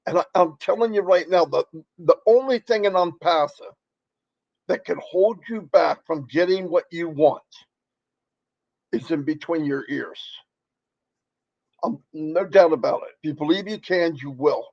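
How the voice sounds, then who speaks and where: Crackly guy on a live stream, folksy rambling sales pep talk, kinda high voice, dry dull audio. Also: a little slow, gravelly, long pauses, no room sound. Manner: rambling, folksy, repeats points for emphasis. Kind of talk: motivational sales pitch.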